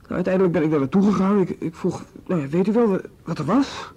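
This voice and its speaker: A man's voice: sits high, fast, mellow, breathy edge. An actor in a radio play voicing a remorseful young male student who stammers through a tearful confession. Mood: wistful. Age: young adult. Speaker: student questioned by police.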